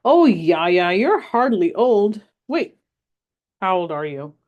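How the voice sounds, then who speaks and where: A woman voicing a curious, excited six-year-old girl in a read-aloud story, asking question after question. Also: takes it slowly, muffled recording. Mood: eager. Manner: high-pitched, eager questioning.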